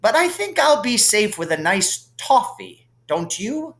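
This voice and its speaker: A man voicing an elderly, genial, whimsical wizard headmaster who muses in a dreamy, unhurried way. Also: high-pitched, very animated, fairly bright tone, voice pushed up, exaggerated voice. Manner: unhurried, musing.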